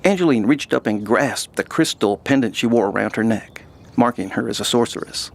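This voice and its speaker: Creaky-voiced man narrating fantasy. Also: mid-range voice, expressive, brisk. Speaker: host and narrator. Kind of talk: scripted fantasy story narration.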